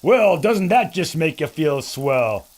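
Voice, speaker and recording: A man's voice: fairly high pitch, very animated, slow, a little mellow. An actor playing a brash male adventure photographer who throws out slangy wisecracks. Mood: eager. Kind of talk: audio drama acting.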